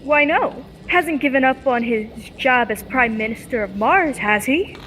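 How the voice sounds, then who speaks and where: A woman playing a spirited, caring young heroine in an old-time radio space serial, earnest and expressive. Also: high-pitched, measured pace, in a large hall, slight background noise. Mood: curious, breezy.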